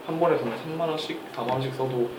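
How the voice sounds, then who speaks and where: A man's voice: mid-range voice, very fast. A young man appearing as a podcast guest, telling stories in an easygoing, casual way. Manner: easygoing storyteller. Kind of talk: casual group chat. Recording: roomy, distant mic, noisy.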